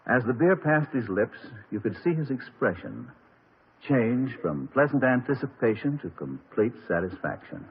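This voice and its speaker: A man tells a scripted radio commercial as a painter, in a smooth narrative delivery. His voice sits low and a little husky, with a lively rise and fall. It comes through a tinny, narrow old broadcast recording. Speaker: ad pitchman playing a portrait painter.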